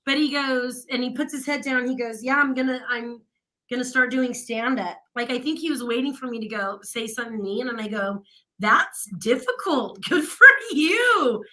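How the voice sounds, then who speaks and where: A woman's voice: a little high, expressive, moderate pace, pushed and bright, poor audio. A woman radio host in casual chat with her co-host, chatty and laughing often.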